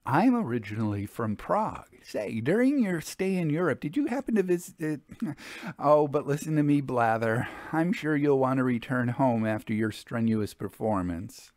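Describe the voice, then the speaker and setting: A man's voice: mid-range voice, big pitch swings, normal speed, darkish tone, no echo, studio quality, lightly acted. A man voicing a chatty, tartly polite woman investigator with a hesitant, blathering manner in a storybook reading. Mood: flustered, polite. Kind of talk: audiobook storybook dialogue.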